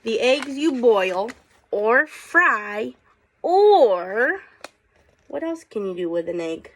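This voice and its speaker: Slow-paced woman reading aloud to children. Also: a little high, sing-song. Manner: unhurried, inquisitive, prompting listeners with questions. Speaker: teacher reading aloud to students. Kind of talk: picture-book read-aloud with casual questions to children.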